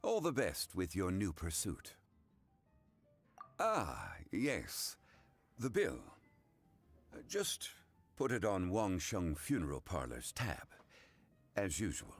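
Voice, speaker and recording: A man voicing a courteous, old-fashioned gentleman tea connoisseur in a video game, speaking in a formal, measured, instructive manner. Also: sits low, wildly swooping pitch, soft background music, heavily acted.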